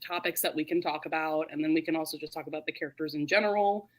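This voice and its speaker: A woman's voice: low voice, quick pace, low-quality recording. A woman hosting a book discussion in a casual, giggly, informal way. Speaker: host of a book readalong live show.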